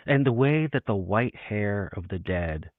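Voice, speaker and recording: A man's voice: fairly low pitch, expressive, a little slow, dry recording. A man hosting a poetry show, chatting about literature in an enthusiastic, appreciative way. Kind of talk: conversational literary interview. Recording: muffled phone-like audio.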